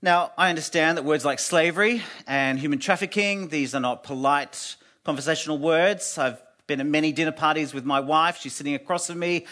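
A man with a loud voice, preaching an earnest sermon in a church and dropping to a hush on solemn points. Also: a little high, a little fast, hardly any pauses. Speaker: guest preacher from an anti-slavery group.

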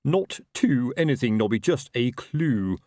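A man's voice: medium pitch, animated, measured pace, dark tone, no room sound, clean studio sound, voice pushed up, close to an everyday voice. A man voicing a self-important, slightly dim police captain with a weary, colloquial, pompous manner in a storybook reading. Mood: self-satisfied. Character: self-important, tetchy, slow-witted old-school police captain.

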